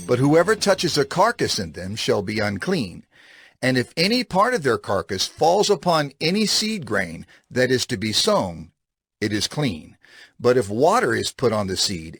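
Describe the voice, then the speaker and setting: A man reading scripture in a solemn, measured, declarative way, as dramatized narration. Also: medium pitch. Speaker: scripture reader. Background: background music.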